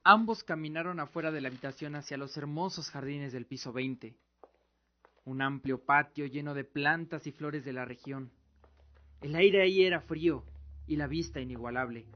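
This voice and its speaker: A man's voice: a little high, moderate pace, muffled recording. A man reading scripted dramatic narration as the storyteller of an audio drama.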